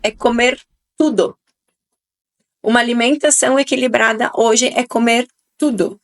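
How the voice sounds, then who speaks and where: A woman's voice: a little high, fairly even intonation, unhurried. A woman around forty with an Italian-influenced southern Brazilian Portuguese accent, talking chattily and emphatically as a guest on a radio podcast. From southern Brazil, Italian-settled region. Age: 40s. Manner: chatty, emphatic, tag questions. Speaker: guest nutrition speaker. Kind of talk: casual radio podcast interview. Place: radio studio.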